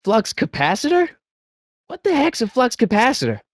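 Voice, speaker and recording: An actor playing an excitable, wisecracking American teenage boy from the eighties in a video game, with slangy, youthful delivery. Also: extremely high pitch, normal speed, no echo, clean studio sound, full character voice. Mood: startled, incredulous. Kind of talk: animated video game voice lines.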